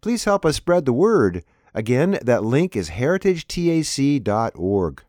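A man's voice: medium pitch, sing-song, unhurried, a little mellow, no room sound, studio quality. A man delivering scripted announcements as the presenter of a news show.

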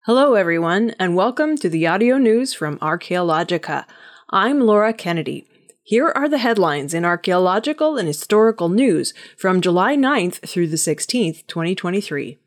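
A woman's voice: lowish voice, animated, normal speed. A woman reading scripted audio news stories as a news reader.

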